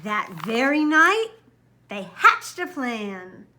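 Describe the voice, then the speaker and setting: A woman's voice: fairly high pitch, big pitch swings, slow pace, background noise. A woman reading a children's picture book aloud expressively, with dramatic, careful emphasis. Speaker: storybook reader.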